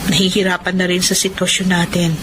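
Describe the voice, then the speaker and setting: A woman's voice: on the low side, completely flat delivery, quick pace, lightly acted. A woman acting a caring, dutiful younger sister in a radio drama, earnest and solicitous. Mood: concerned, tender. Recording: noisy background ambience.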